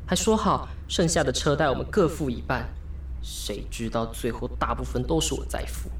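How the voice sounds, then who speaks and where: A man's voice: mid-range voice, a little hiss or hum, close to an everyday voice. Actor as a wry, lovesick young Taiwanese man. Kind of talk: radio drama acting with inner monologue.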